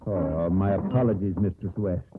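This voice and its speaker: An actor playing a droll, deferential second mate with polite seafaring speech in a 1950s radio drama. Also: male voice, low-pitched, normal speed, music in the background, lightly acted. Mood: respectful, earnest. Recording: muffled, hissy 1950s radio broadcast.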